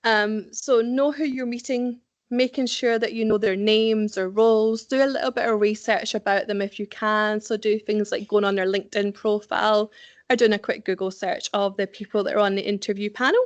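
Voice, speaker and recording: A middle-aged woman with a Scottish accent giving a practical, conversational career-advice presentation, often pausing with "um". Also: mid-range voice, steady tone, no echo, dull audio. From Scotland. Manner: practical, conversational, frequent ums, occasional laughs. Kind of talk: presentation to young professionals.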